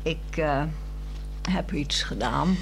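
A woman's voice: sits low, slow, gravelly, a little hiss or hum, heavily acted. A woman acting a yearning, coaxing wife who speaks frankly in a 1960s radio drama. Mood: confessing, coaxing. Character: restless, yearning wife, coaxing and frank, craving new romance. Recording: 1960s radio play, music behind.

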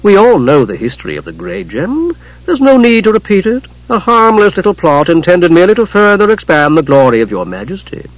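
Scheming god for fantasy audiobook, read by a man: greasy, smooth, wheedling, pitched up and swooping. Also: sing-song, fairly quick, no room sound, dull audio. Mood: oily, feigned innocence. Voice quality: oily, smooth. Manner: slick, wheedling. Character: greasy, slick, large male god, whining schemer.

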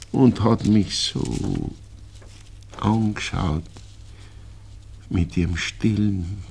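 Old Viennese father, low husky voice, slow and halting, gentle regret, 1960s radio play. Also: full character voice. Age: older. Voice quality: gravelly, husky. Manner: slow, halting, repeating words. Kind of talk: 1960s German radio drama acting.

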